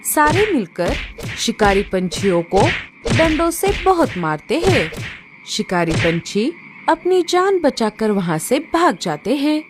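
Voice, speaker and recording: A woman narrates a children's story in a fairly high voice. Her pitch moves in a lively way while the pace stays slow. Clear noise and ambience sit behind her.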